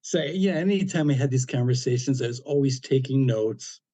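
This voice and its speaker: An older man around seventy talks through a personal story as a podcast guest, unhurried and anecdotal. His voice is warm and mellow, pitched in the middle range, with dry humor and plenty of "you know" fillers. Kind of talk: casual storytelling interview.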